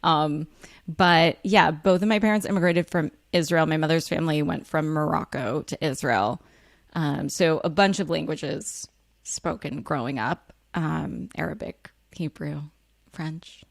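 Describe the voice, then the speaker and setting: A woman, talking candidly as an interview guest, frank and self-deprecating with lots of fillers and quick laughs. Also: low-pitched, creaky, no room sound, studio quality. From United States. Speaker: author and guest.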